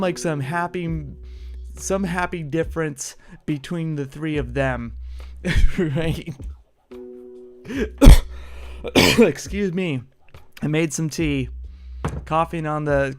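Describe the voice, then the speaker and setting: Man, solo podcast host, casual monologue, punchy and blunt, swears, slightly high voice, faint music behind. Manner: punchy, combative, swears freely.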